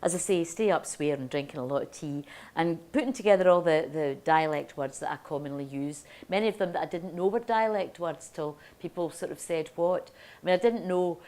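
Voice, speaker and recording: An older woman with a Motherwell Scottish accent, softened by Glasgow, reading her poems aloud and talking casually between them as a poet giving a lecture. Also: deep voice. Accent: Motherwell Scottish, Glasgow-tinged. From Scotland. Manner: rhythmic, deliberate reading of verse, then chatty asides with ums. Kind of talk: poetry reading and lecture talk.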